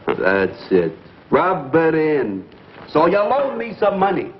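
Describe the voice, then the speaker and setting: Whiny sarcastic brother in law type, swoops around a lot, old muffled 50s broadcast. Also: male voice, on the high side, wildly swooping pitch, slow, heavily acted. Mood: aggrieved, sarcastic. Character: needling, sponging, sarcastic adult brother-in-law-to-be. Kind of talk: 1950s broadcast comedy acting. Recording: muffled, noisy 1950s broadcast recording.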